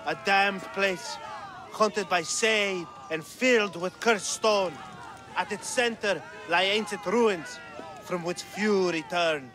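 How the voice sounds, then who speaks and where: A man's voice: high-pitched, slow pace, bright, forceful tone, exaggerated voice. A man playing a hostile, superstitious accuser with a declamatory, menacing manner in a video game cutscene. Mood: ominous. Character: hostile, superstitious male accuser rallying a mob.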